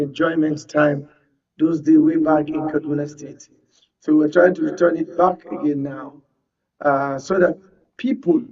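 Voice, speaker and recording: Husky, creaky, unhurried Nigerian man. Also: fairly high pitch, a little mellow. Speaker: studio guest, event venue executive. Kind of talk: conversational interview answers. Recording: distant mic, dull sound.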